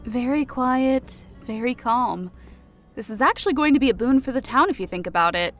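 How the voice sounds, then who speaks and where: A man voicing a calm, thoughtful fantasy noblewoman with measured, formal phrasing in an audiobook. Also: very high-pitched, faint music behind, pitched above the performer's own voice. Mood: calm musing. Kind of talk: audiobook character voice.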